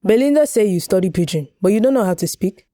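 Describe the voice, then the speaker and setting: A woman's voice: medium pitch, lively intonation, quick pace, dark tone, dry recording, studio quality, close to an everyday voice. Woman acting a brash, nosy woman. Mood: challenging. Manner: blunt, challenging, speaking Pidgin-inflected English. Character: brash, nosy, plump Cameroonian woman at a party.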